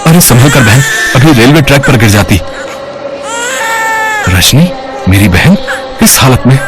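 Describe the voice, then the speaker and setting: A man playing a protective, stern but loving elder brother in a melodramatic cartoon story, with an emphatic, husky delivery. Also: mid-range voice, animated, a little slow, over music, lightly acted. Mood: shocked concern. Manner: emotional, emphatic.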